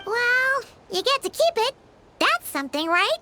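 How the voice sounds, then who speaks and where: A woman voicing a tiny, bubbly, easily indignant girl companion in a video game, with a squeaky childlike voice and exclamatory delivery. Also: very animated, measured pace, noisy background, heavily acted. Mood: curious. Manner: refers to herself by name, exclamatory. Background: game background music.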